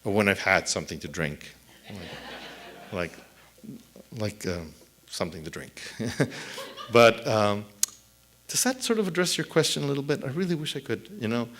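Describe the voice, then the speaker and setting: A middle-aged man, a native Spanish speaker, answering audience questions on a theater stage at a lectern mic, thoughtful and halting with many restarts. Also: lowish voice, animated, a bit bright. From El Paso, Texas. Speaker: author at a book event. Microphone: lectern mic on a stand.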